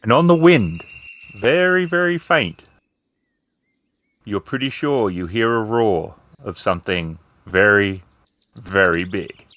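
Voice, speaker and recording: A man's voice: lowish voice, lively intonation, takes it slowly, pauses often, a bit bright. A man acting as game master in a tabletop podcast, switching between improvised narration and dry, joking casual talk.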